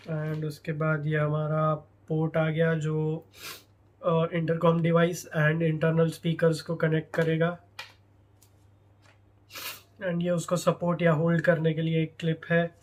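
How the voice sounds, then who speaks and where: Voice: medium pitch, monotone, slow, pauses often. A product reviewer with an Indian accent narrates an unboxing casually, switching between Hindi and English. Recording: clear background noise, handling clicks.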